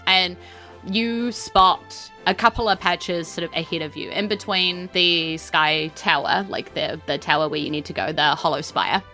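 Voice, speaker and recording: A woman's voice: lowish voice, fairly even intonation, measured pace, sharp tone, soft background music. A woman with a New Zealand accent, narrating a tabletop game as game master in an informal, conversational way. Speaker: game master, podcast host.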